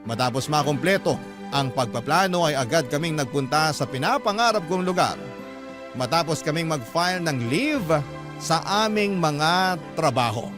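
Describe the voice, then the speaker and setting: A man delivers scripted first-person storytelling for a radio drama. His voice sits slightly high for a man. Music is clearly audible behind the narration. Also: animated, moderate pace. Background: clear background music.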